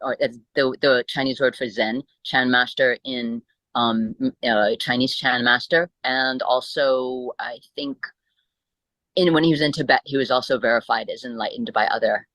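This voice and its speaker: An American woman speaking reflectively as an interview guest, halting as she searches for words. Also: rumbling low, fairly even intonation, a bit bright, no echo, poor audio. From born in Italy, grew up in the United States. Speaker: interview guest, Buddhist teacher.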